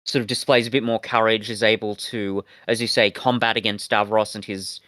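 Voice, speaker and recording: A man co-hosting a review podcast in casual discussion, talking haltingly with a wry, sweary manner. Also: lowish voice, little pitch movement, fairly bright tone, dry recording. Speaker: podcast co-host reviewing audio dramas.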